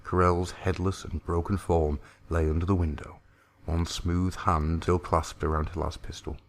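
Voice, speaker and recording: A man's voice: sits low, flat delivery, normal speed. A man reading a science-fiction horror story aloud as a narrator, in a grave, theatrical way.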